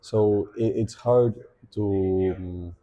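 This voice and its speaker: A young man with an Argentine Spanish accent, Spanish as his first language, talking as a podcast guest in a thoughtful way, pausing to think and often restarting his sentences. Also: on the low side, fairly even intonation, mellow, muffled recording. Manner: reflective, thinking aloud with many fillers and restarts. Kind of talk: casual podcast conversation.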